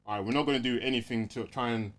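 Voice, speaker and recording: A man's voice: mid-range voice, steady tone, rapid-fire, a bit bright. A man discussing things casually as a podcast host, in a hesitant, questioning way.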